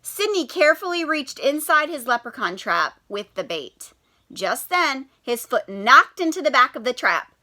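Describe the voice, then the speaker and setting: A woman teacher reading a children's picture book aloud, telling the story in an animated, expressive way and asking the children questions. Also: high voice, moderate pace.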